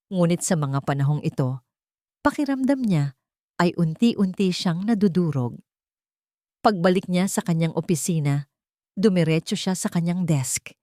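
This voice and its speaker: A woman's voice: lowish voice, mellow, no room sound, studio quality. A woman with a Filipino accent reading a scripted, dramatic romance story as narrator.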